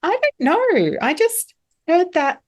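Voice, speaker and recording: A woman's voice: high voice, sing-song, slow. A woman hosting a podcast interview in a casual, chatty style, encouraging and complimentary toward her guest. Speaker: podcast host.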